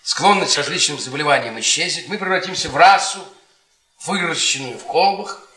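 An actor playing a bitter, sardonic middle-aged history professor, delivering ironic, halting rhetoric in a theatrical radio play. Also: male voice, fairly high pitch, pushed and bright. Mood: ironic lecturing. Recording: roomy stage sound with audience noise.

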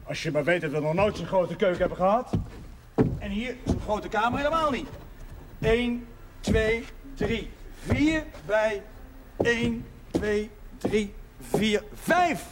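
An actor playing a warm, proud, middle-aged working-class father in a television period drama. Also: sits high, slow pace, pauses often. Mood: proud, explaining. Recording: faintly noisy 1970s television soundtrack.

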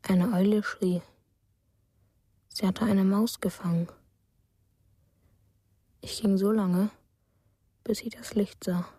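An actress narrating a dreamlike first-person night tale in a radio play, in a hushed, sparse, measured way. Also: female voice, mid-range voice, steady tone, husky, no room sound, studio quality.

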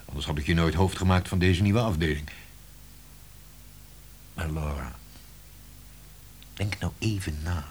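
An actor playing a shrewd, teasing publishing boss in a radio drama, coaxing theatrically with pointed pauses. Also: male voice, low-pitched, slight background noise. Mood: persuasive, teasing. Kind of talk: Dutch radio drama acting.

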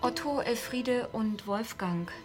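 A woman's voice: a little high, takes it slowly, music in the background. An actress playing a nervous, earnest young provincial woman in a radio drama, hesitant and stammering. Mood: earnest, flustered. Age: young adult. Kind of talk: German radio drama dialogue.

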